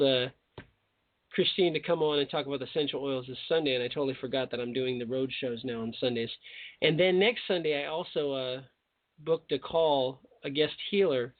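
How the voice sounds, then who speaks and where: A man's voice: medium pitch, no room sound. A man hosting a call-in radio show, talking casually and rambling with joking asides. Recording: tinny phone line.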